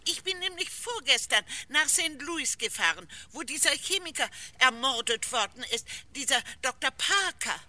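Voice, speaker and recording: A woman's voice: high voice, expressive, normal speed, hardly any pauses, sharp tone, no room sound, full character voice. An actress playing a fussy, self-important old lady in a German radio crime play, telling things in a gossipy, eager, over-precise way.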